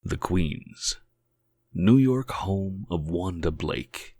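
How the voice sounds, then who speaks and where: A man's voice: low-pitched, lively intonation, very slow, a little mellow, no room sound, clean studio sound. A man reading scripted dramatic narration for a comic dub.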